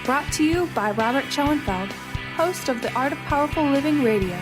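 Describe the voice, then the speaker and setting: A woman's voice: sits high, expressive, moderate pace. A woman reading scripted promotional narration as a radio advert announcer. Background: music bed.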